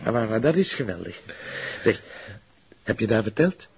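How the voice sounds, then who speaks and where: A man's voice: on the low side, big pitch swings, normal speed, creaky, husky, muffled recording, exaggerated voice. An actor playing an amiable, curious police officer in a TV crime drama, with a Flemish accent, often repeating his words. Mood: amused, surprised. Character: amiable, curious male police colleague and confidant. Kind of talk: naturalistic TV drama dialogue.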